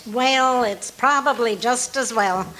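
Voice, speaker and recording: An actress playing a wry, patient, teasing wife in old-time radio comedy style. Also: female voice, a little high, slow, sharp tone, low-quality recording. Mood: gently doubtful. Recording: live stage recording with faint hiss.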